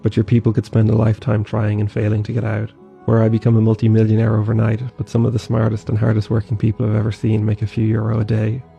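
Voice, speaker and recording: A man's voice: on the low side, completely flat delivery, fast talker, creaky, few pauses, dark tone. A man reading a wry, scripted travel narration addressed to a country. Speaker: travel vlogger. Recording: dull lo-fi voice-over with faint music.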